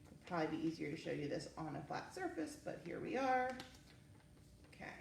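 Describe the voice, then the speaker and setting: A woman's voice: low voice, sing-song, brisk, creaky. A woman hosting a children's story time and craft session, speaking casually and encouragingly as she talks young children through each step. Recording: roomy, distant mic with faint noise.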